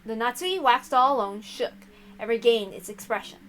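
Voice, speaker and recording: Fairly high-voiced woman narrating a story. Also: animated, fairly quick, a bit bright, slight background noise. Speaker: narrator and reader of a visual novel. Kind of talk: expressive read-aloud narration with casual asides.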